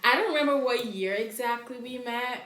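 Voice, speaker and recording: A woman's voice: on the high side, fairly quick, a little hiss or hum. Hesitant young woman, echoey room. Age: 20s. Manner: soft-spoken, hesitant, many fillers. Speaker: podcast co-host. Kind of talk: casual chat.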